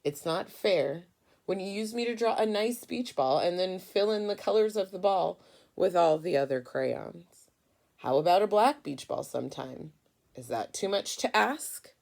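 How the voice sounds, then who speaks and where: A resentful storybook crayon , acted out by a woman, envious and aggrieved. The voice is a touch low and gravelly, with a sulky, slow delivery. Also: expressive, darkish tone.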